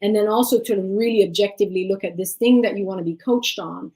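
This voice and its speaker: A woman raised in Sweden whose first language is Tigrinya, speaking as a life coach in an interview, reflective and measured with frequent fillers. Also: mid-range voice, steady tone, dark tone. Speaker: life and leadership coach, guest. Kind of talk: interview conversation.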